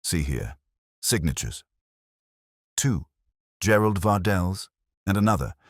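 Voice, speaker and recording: A man's voice: low-pitched, lively intonation, slow pace, no room sound, studio quality, pitched above the performer's own voice. A man voicing a large, imperious older detective in an audiobook, speaking formally and tersely. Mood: terse, grim. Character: large, brilliant, imperious older male detective, grumpy and deliberate.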